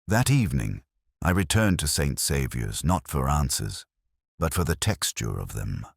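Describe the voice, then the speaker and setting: A man narrating a scripted first-person detective mystery in a measured, solemn, literary manner. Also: extremely low pitch, very animated, creaky, breathy edge, no echo, clean studio sound.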